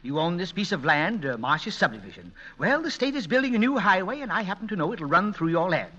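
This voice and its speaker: A wheedling small-town banker in an old radio comedy. He talks quickly in a high, lively male voice, pressing his pitch on dull vintage audio. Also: full character voice. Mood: smooth, persuasive. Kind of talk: 1940s radio comedy acting.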